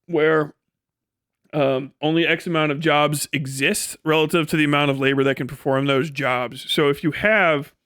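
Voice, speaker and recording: A man's voice: fairly high pitch, a bit bright, smooth, no room sound, studio quality. A man livestreaming casual, opinionated talk, blunt and profane, rambling through explanations that mix formal vocabulary with slang. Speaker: live streamer.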